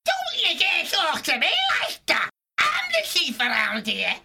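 A narrator voicing a small, hot-tempered fox gang chief with a squeaky voice and rough, bossy, rustic speech in a storybook reading. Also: very animated, slow pace, pushed and bright, exaggerated voice. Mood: furious, shouting. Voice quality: squeaky, shrill.

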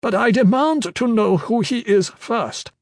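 A man voicing an imperious, sharp-tongued old woman magister who scolds everyone around her. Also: measured pace, no echo, clean studio sound. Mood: indignant. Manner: scolding, shrill.

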